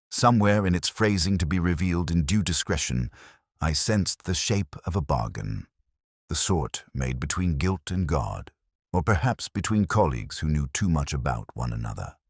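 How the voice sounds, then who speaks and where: A man voicing a weary, erudite police inspector who recounts a case in measured, literary first-person narration. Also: rumbling low, slightly rough, no room sound, studio quality, exaggerated voice. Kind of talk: scripted first-person detective story narration.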